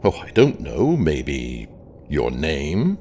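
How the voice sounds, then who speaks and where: A man's voice: extremely low pitch, huge pitch swings, slow, mellow, slight background noise, heavily acted. A man playing a young British working-class husband and son in an audio drama, informal, dry and teasing. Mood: teasing, exasperated.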